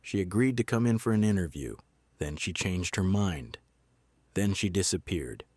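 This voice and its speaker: An actor playing a guarded small-town sheriff in a noir audio drama, laconic, deadpan and measured. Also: male voice, low-pitched, dry recording, studio quality. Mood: terse, uneasy.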